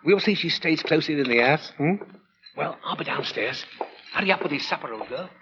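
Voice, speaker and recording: An actor playing an eager, chatty working-class English husband in a 1940s radio thriller, colloquial and excitable. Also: male voice, a little high, brisk. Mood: chatty, cosy. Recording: dull, hissy vintage radio recording.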